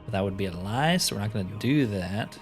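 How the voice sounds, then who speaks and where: A man's voice: lowish voice, expressive, fairly quick, soft background music. A man giving casual commentary as he plays a roleplaying video game. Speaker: gaming video host. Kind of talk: casual roleplay gameplay commentary, reading dialogue aloud.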